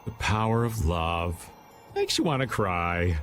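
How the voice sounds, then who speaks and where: Casting: teasing older anime game rogue, wry drawl, very animated delivery. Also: male voice, low-pitched, slow, faint music behind, exaggerated voice.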